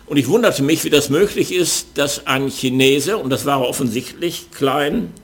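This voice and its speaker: An older man from the Ruhr area speaking with a Ruhr German accent, preaching an earnest, measured sermon with frequent hesitations. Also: fairly high pitch.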